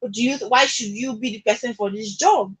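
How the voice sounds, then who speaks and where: A woman's voice: a little high, fairly quick, a bit bright, slightly rough, poor audio. A Nigerian woman speaking as a radio guest in conversational advocacy, emphatic, repeating her points and checking that she is understood. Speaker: radio guest discussing social issues. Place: radio studio.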